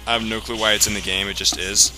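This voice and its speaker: A man with a fairly low voice gives casual commentary on a video game. He rambles and explains as he goes, halting and picking up again, with clear game music playing behind him. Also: little pitch movement. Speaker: let's play commentator.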